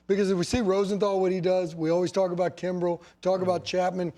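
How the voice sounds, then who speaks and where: A man's voice: high voice, little pitch movement, fast, mellow. An American man giving unscripted sports panel analysis in a colloquial, emphatic way, repeating himself for stress. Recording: faint music behind the voice. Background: faint music.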